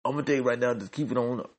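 A man's voice: medium pitch, steady tone, very fast. A man hosting his own podcast, delivering a joking, repetitive sports rant. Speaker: podcast host.

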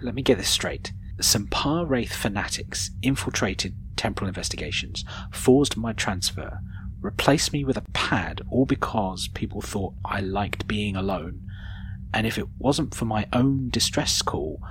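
Man acting a low-voiced, earnest young space ensign. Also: lively intonation, a little slow, fairly bright tone, a little hiss or hum, rough recording. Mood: incredulous. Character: earnest, bewildered male starfleet ensign, long missing. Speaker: junior officer. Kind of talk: sci-fi audio drama acting.

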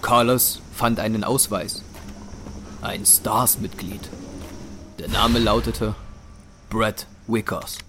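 A man narrating a scripted audio drama in a measured, serious storytelling manner. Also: fairly low pitch, fairly even intonation, creaky, noisy background. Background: music.

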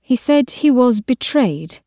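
A woman's voice: on the high side, animated, slow, mellow, dry recording, studio quality, pitched above the performer's own voice. A woman voicing an earnest, anxious young female trainee in an audiobook story, careful and insistent. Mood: earnest, steady.

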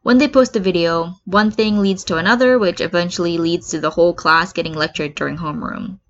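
A woman's voice: lowish voice, fairly even intonation, brisk, a little hiss or hum. Woman reading a story, muffled recording. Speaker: fan fiction reader. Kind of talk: scripted story narration with acted dialogue. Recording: dull lo-fi recording.

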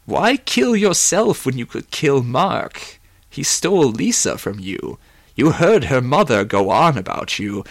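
A man voicing a calm, persuasive manipulator with a measured, insinuating delivery in an audiobook. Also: medium pitch, creaky, no room sound, voice pushed up, heavily acted. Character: calm, persuasive manipulator coaxing toward revenge. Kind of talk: audiobook character voice.

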